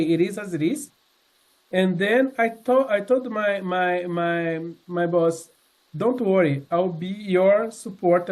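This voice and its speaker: A man in his sixties giving a personal testimony to a congregation, speaking earnestly and haltingly, with many fillers and restarts. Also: sits high, a little mellow. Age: 60s. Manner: earnest, sincere. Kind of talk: personal testimony talk with slides.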